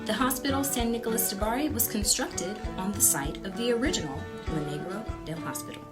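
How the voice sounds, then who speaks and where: A woman reading a scripted narration in an even, read-aloud manner. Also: a little high. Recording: narration over loud music.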